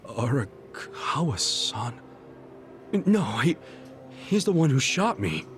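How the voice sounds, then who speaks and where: An actor playing a blunt, good-hearted low-ranking yakuza in an English game dub, informal, halting and expressive. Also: male voice, soft background music. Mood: confused, shocked.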